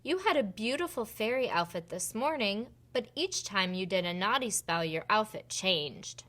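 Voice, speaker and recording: A woman voicing a kind but firm head fairy in a picture book, deliberate and gently scolding. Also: mid-range voice, lively intonation, close to an everyday voice. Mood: explaining. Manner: deliberate, repetitive, chiding.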